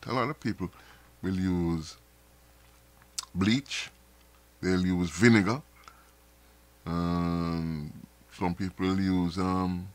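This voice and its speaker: A man hosting a live stream, talking casually to viewers with frequent repeats and restarts, sounding a little nasal from dust in his throat. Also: sits low. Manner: rambling, repeats words and restarts often.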